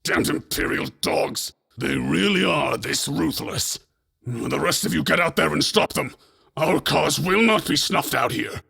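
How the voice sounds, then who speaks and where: Gruff rebel warlord, harsh rasp, forceful, defiant, game fan dub. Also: male voice, fairly high pitch, unhurried, heavily acted. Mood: furious. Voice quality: raspy, gravelly.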